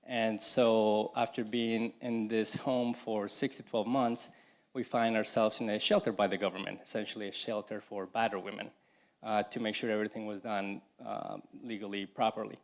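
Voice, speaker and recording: A young man from Colombia giving a personal testimony at a church service, speaking in a halting way with frequent restarts and "you know". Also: lowish voice, flat delivery. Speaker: congregation member giving testimony.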